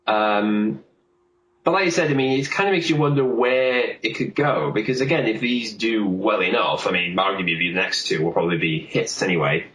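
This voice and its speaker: A man's voice: lowish voice, normal speed, fairly bright tone. A man in casual, rambling, chatty discussion, as co-host of a film podcast. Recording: roomy, echoey sound with faint noise.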